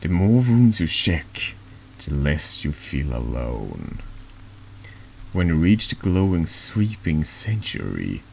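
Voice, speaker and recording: A man with a deep voice reading horror narration slowly and haltingly in an ASMR style, over background noise. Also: big pitch swings, gravelly, darkish tone. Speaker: vtuber reading a text game aloud.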